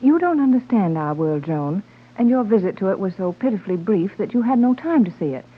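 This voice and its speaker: An actress playing an earnest, motherly, refined woman adventurer in a 1930s radio serial, reasoning gently. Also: mid-range voice, animated, a little fast, breathy edge. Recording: muffled, narrow-band old radio recording.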